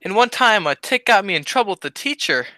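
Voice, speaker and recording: A man's voice: sits high, expressive, brisk, bright, forceful tone, no echo. A man playing a silly, dim-witted wisecracking sidekick in a 1940s-style radio comedy. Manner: silly, cheeky wordplay.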